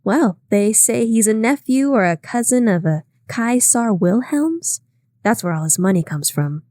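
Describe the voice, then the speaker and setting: A woman's voice: fairly high pitch, expressive, measured pace, dark tone, no echo, clean studio sound. A woman reading aloud, voicing a chatty, gossiping young woman with a raised, breathless voice. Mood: eager.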